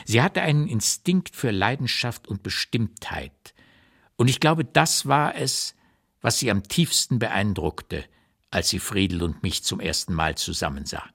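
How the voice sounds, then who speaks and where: An actor playing a blunt 85-year-old writer looking back on his life, reading memoir notes in a measured, deliberate, emphatic way for a literary radio play. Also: lowish voice, animated, gravelly, a bit bright, slightly rough, exaggerated voice. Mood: reflective, candid.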